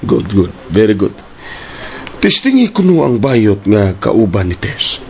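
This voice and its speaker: An actor playing a fussy, excitable, stammering TV station boss in a radio drama, with a Cebuano Filipino accent. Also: male voice, fairly low pitch, a little slow. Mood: scheming. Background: background music.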